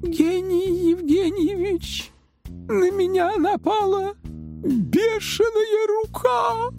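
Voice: mid-range voice, lively intonation, slow pace, soft background music, pitched above the performer's own voice. A performer voicing a jumpy, dramatic young woman lab assistant in a children's audio story. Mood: frightened.

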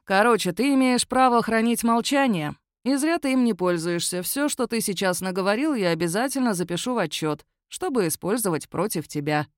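A woman voicing a dry, unimpressed female magistrate, deadpan and stern with an ironic edge. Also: medium pitch, fairly quick, hardly any pauses, dry recording, voice pushed up, lightly acted. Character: dry, unimpressed young woman magistrate of a werewolf-control unit. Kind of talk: audiobook character voice.

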